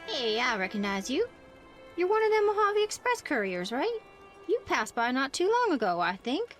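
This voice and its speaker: A woman's voice: high voice, big pitch swings, normal speed, soft background music. A woman voicing a friendly, curious young woman in a video game, chatty and bubbly. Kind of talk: video game dialogue acting.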